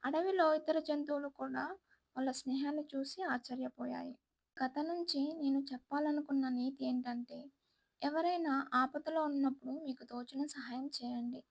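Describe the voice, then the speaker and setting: A woman's voice: high-pitched, fairly even intonation, normal speed, gravelly, no echo, low-quality recording. A woman storyteller reading a scripted children's moral tale as narrator.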